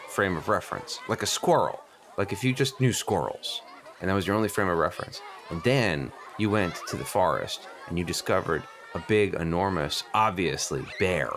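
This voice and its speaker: A precocious eight-year-old boy, played by a grown man in a low voice, over noisy ambience. He is earnest and pedantic, stumbling into long run-on questions and pressing on with them in an improvised comedy. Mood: baffled, insistent. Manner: hesitant stammers, rambling run-on questions. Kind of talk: improvised comedy radio play.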